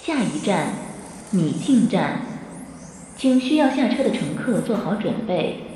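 Calm female train announcer played by a woman, mellow, heavily echoing, public-address delivery. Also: lowish voice, measured pace. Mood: calm, formal. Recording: very echoey, faint station noise.

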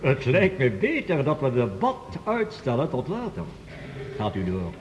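A man's voice: mid-range voice, huge pitch swings, normal speed, muffled recording, slight background noise. An actor playing a courteous, insistent chairman keeping a formal meeting in order in a radio play. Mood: diplomatic. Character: courteous male chairman trying to keep order. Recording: long reverberant hall, radio play production.

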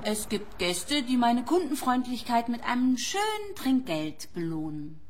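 An actress playing a serious, curt young hotel receptionist in a radio play, cool and unamused. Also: female voice, a little high, sing-song, unhurried. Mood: cool, calculating. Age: young adult.

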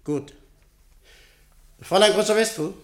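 Cold bossy newspaper boss, kinda high voice, short clipped lines. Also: male voice, a little slow. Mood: commanding. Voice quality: curt, commanding. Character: cold ruthless male newspaper owner, commanding. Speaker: businessman Butschan. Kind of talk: 1950s-60s radio play acting.

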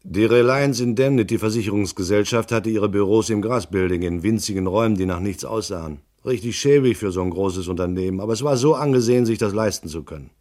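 A man acts a hardboiled private eye from a crime radio drama. His voice is low, and he talks in terse, dry, unimpressed lines, as narrator of his own case and as tough questioner. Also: fast, hardly any pauses, darkish tone, lightly acted. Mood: dry narrating. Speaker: actor narrating and playing the lead.

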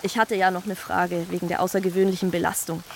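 A woman's voice: fairly low pitch, flat delivery, fast talker. An actress playing a talkative, caring, scatterbrained woman in a naturalistic radio drama, musing and rambling conversationally. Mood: matter-of-fact. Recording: clearly noisy outdoor ambience.

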